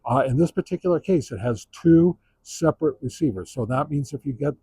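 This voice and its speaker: A man giving a casual product review as a monologue, halting and often pausing mid-sentence. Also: medium pitch, animated, warm tone, husky, no echo. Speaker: radio gear reviewer.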